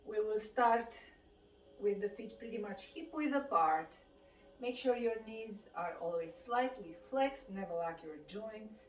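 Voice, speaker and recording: A woman from Romania with a Romanian accent, a fitness instructor guiding a qigong class with calm cues timed to the breath. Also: mid-range voice, measured pace. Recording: roomy sound from a distant mic.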